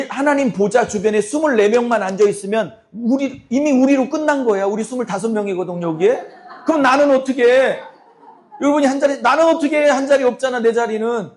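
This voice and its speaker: A middle-aged man preaching a sermon to his congregation, his voice hoarse and cracking. He fires rhetorical questions at the listeners and speaks bluntly and forcefully. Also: sits high. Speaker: pastor.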